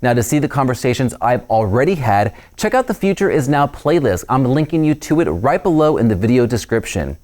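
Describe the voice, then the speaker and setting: A man's voice: medium pitch, very animated, brisk, hardly any pauses, darkish tone. Casting: male host of a district news show, mid-range voice, upbeat and friendly, reads from a script.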